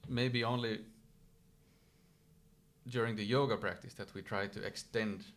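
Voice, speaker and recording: A man's voice: lowish voice, steady tone, unhurried. A Finnish man whose first language is Swedish, a yoga expert guest explaining ideas step by step in casual conversation. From Finland. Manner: thoughtful, explains step by step with small restarts.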